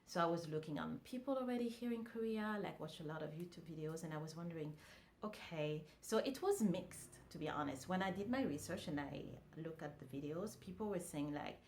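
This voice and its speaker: A woman's voice: fairly low pitch, a little fast, slight background noise. A woman, chatting casually to camera as a vlogger with lots of fillers and restarts. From France. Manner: relaxed, conversational, many fillers and restarts.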